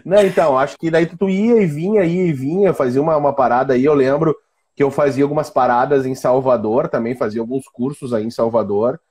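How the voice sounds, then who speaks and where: A man with a southern Brazilian accent from Rio Grande do Sul, hosting a casual, joking chat in an informal, teasing, rambling way, with a husky voice. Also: mid-range voice, a little fast, darkish tone. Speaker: live-stream host; physiology and biomechanics professor.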